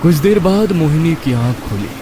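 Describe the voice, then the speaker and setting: A man's voice: a little high, lively intonation, normal speed, background noise. A man narrating a scripted horror story in a dramatic storytelling style. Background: music.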